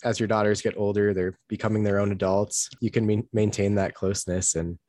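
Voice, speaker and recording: A man's voice: lowish voice, level tone, a little fast, a little mellow. A fairly young man hosting a podcast in a casual, conversational style. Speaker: podcast host. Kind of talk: casual interview chat and podcast sign-off.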